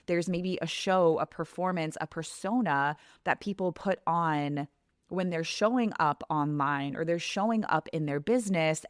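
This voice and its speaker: A woman's voice: low-pitched, no echo, clean studio sound. A woman in her thirties hosting her podcast, talking earnestly and reflectively straight to the listener. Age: 30s. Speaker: podcast host and coach. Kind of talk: heartfelt podcast monologue.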